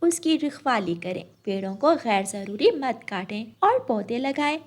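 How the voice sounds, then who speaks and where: High, animated woman storyteller. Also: a little slow. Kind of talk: scripted children's story narration.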